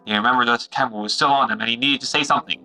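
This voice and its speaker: A man's voice: medium pitch, steady tone, very fast, bright, forceful tone, soft background music, poor audio. A man playing the dramatic announcer and narrator of an adventure serial, emphatic and theatrical. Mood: storytelling.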